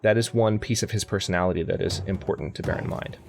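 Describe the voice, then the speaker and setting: A man's voice: low voice, steady tone, fast, gravelly, a little mellow, a little hiss or hum. A young man casually commenting on a strategy game as he plays, thinking aloud and often correcting himself. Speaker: let's play host. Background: faint game music.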